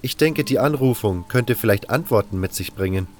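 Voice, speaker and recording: A man's voice: lowish voice, lively intonation, moderate pace, soft background music, natural voice. A man voicing an eager, bookish young fire mage who lectures earnestly in a wordy way, in a fantasy audio drama.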